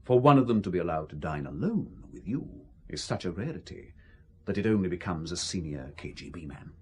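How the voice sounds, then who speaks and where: A man's voice: sits low, expressive, fairly quick, slightly rough. A man with a British accent playing a suave, coercive intelligence officer, condescending and coolly menacing, in an audio drama. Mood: cool, informative. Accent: British English.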